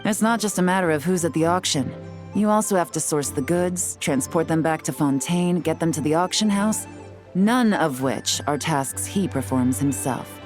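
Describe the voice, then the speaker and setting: Actress doing a cool confident lady spy in a game, low voice, calculating and measured, bit of music behind. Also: darkish tone, natural voice. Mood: explaining coolly. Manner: measured, knowing, self-assured. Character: shrewd, composed woman intelligence agent, confident and calculating. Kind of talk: video game voice acting.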